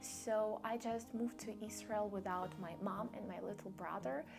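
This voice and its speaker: A young woman, a native Russian speaker with a Russian accent, tells a personal story casually to camera, haltingly searching for words. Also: medium pitch, fairly even intonation, gravelly. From Belarus. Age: young adult. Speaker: vlogger. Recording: music behind the voice.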